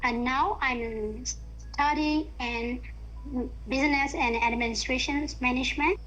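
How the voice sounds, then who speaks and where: Hesitant high-voiced Thai woman. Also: fairly even intonation, a little slow, fairly bright tone, highs cut off, soft background music. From Thailand. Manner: hesitant, searching for words with many fillers. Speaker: guest, university student. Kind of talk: casual language-practice chat.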